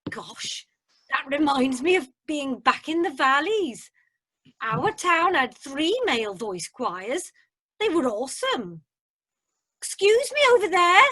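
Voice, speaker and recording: A woman's voice: high-pitched, sing-song, takes it slowly, bright, forceful tone, no echo, full character voice. A woman playing an eager, chatty cruise passenger in an amateur radio drama. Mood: cheerful, chatty. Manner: eager, sociable. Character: chatty, sociable woman passenger from the Welsh valleys.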